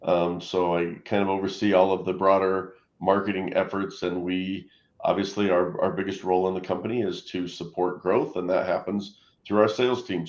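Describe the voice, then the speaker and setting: A man, a marketing executive, introducing himself on a webinar in a measured, corporate way. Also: deep voice, a little mellow, reverberant, muffled recording. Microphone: video call.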